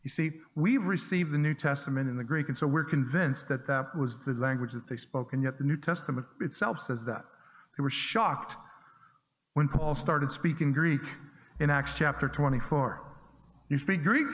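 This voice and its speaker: Emphatic male preacher in a reverberant hall. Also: a little high. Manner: emphatic, conversational, punchy rhetorical questions. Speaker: pastor. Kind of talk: sermon. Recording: dull lo-fi sound with long hall reverb.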